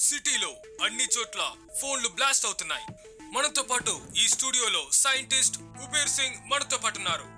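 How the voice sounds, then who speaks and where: A man's voice: very high-pitched, expressive, brisk, pushed and bright, faint music behind, full character voice. A man playing an urgent television news anchor in a cartoon, announcing formally.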